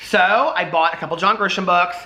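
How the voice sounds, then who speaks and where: Bright-voiced chatty American man. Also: high-pitched, fairly quick. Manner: chatty, animated, rambling asides. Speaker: book vlogger. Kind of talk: casual chatty vlog talk.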